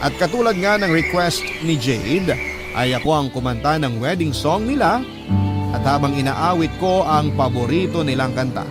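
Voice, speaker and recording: A man's voice: on the high side, animated, normal speed, lightly acted. A man acting a sincere, sentimental friend narrating a personal story in a radio drama. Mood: sentimental, wistful. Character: sincere, sentimental friend recounting an old love. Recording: loud music over the voice. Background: loud music.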